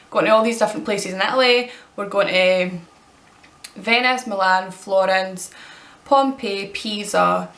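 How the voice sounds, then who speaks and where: A Scottish woman of twenty-two, chatting casually as she answers tag questions and rambles with quick self-corrections. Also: medium pitch, a bit bright, echoey room. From Scotland. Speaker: vlogger.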